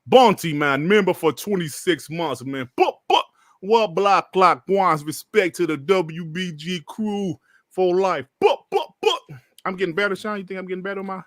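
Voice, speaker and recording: A man from Detroit hosting a casual podcast livestream, animated and joking as he reads out chat messages. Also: high-pitched, moderate pace, no room sound, studio quality. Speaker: podcast host.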